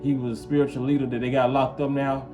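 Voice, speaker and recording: A man's voice: mid-range voice, flat delivery, fast, dark tone. Man, casual vlog, rambling, music under voice. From Memphis, US South. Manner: rambling, keeps asking "you feel what I'm saying". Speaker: vlogger. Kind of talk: casual talk to camera.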